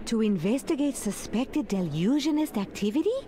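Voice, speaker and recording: A woman voicing a brisk, concerned secret agent in a video game. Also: fairly high pitch, very animated, mellow. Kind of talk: animated video game acting.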